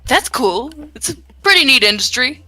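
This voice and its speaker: A bright-voiced woman with lively, swooping pitch reads character lines in a group game. She chats and reacts casually, with faint music in the background. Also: normal speed. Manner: animated, reactive. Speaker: co-player reading a character's lines.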